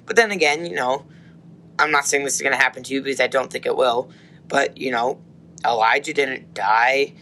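A man chatting on a casual faith podcast, his bright voice a little hoarse. He rambles, restarts sentences and fills pauses with "um.". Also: medium pitch, fairly even intonation. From United States. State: slightly hoarse. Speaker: podcast co-host.

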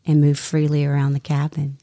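A woman voicing a female flight attendant making a smooth cabin announcement. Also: sits low, monotone, brisk, warm tone, no room sound, studio quality. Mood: calm. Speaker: recorded sound drop.

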